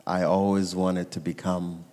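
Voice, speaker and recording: A man's voice: low-pitched, level tone, measured pace, very noisy. A man with a Kenyan accent giving personal testimony to a church congregation, repeating phrases for emphasis, in a reverberant hall. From Nairobi, Kenya. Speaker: guest speaker giving testimony. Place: church sanctuary, amplified to a congregation. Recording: echoey large hall reverb.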